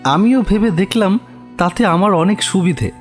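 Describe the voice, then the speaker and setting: A man's voice: high-pitched, moderate pace, soft background music, pitched above the performer's own voice, close to an everyday voice. A man voicing a gaunt, sickly, doomed man who confesses his guilt solemnly and politely in a horror story. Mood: haunted, recounting. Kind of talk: horror audio story character acting.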